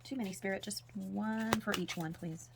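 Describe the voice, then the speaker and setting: A woman with a husky, hoarse voice doing a spiritual tarot reading as a casual monologue, speaking softly and sometimes close to a whisper. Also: mid-range voice, steady tone, moderate pace, very noisy. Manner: soft-spoken, drifts into whispers at times. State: hoarse, losing her voice. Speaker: tarot reader.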